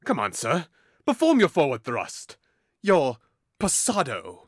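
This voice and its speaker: A man's voice: on the high side, huge pitch swings, takes it slowly, no echo, clean studio sound, heavily acted. A man playing a hot-headed, mocking young nobleman in an audio drama, with a theatrical, taunting delivery. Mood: angry, taunting.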